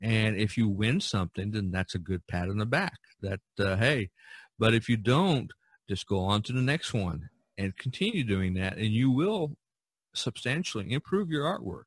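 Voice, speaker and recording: A man's voice: lowish voice, animated, normal speed, breathy edge, no room sound. A man hosting an art podcast in casual chat, speaking in an encouraging way. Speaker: podcast host and artist.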